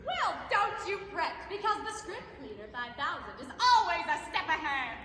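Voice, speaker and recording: A woman's voice: very high-pitched, very animated, moderate pace, sharp tone, heavily acted. A woman acting as a gushing, patriotic infomercial saleswoman in a stage comedy, over-enthusiastic and emphatic. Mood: salesy, confiding. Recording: echoey hall, faint background noise.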